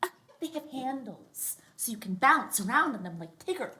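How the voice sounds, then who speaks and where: Woman playing proud flirty ethiopian mom, high swoopy voice, noisy stage recording. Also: big pitch swings, moderate pace. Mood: cheerful, boastful. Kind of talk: sitcom table read before live audience.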